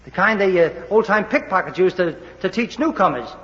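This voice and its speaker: An actor playing a wry, unflappable New York police detective with clipped hard-boiled delivery, in an old-time radio crime drama style. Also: male voice, high-pitched, lively intonation, fairly quick, a bit bright, big-room sound. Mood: brisk, inquisitive. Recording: dull lo-fi vintage radio recording.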